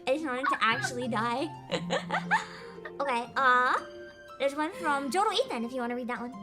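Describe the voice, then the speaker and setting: A woman hosting a casual chat stream, telling embarrassing stories in a high voice and laughing often. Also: normal speed. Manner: giggly, laughs often, animated storytelling. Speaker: VTuber streamer host. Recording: music playing behind.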